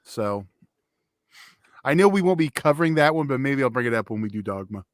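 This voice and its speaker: A man's voice: medium pitch, expressive, brisk, no echo. A man co-hosting a podcast, talking casually about films in an off-the-cuff review. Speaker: podcast co-host. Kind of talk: casual film review chat.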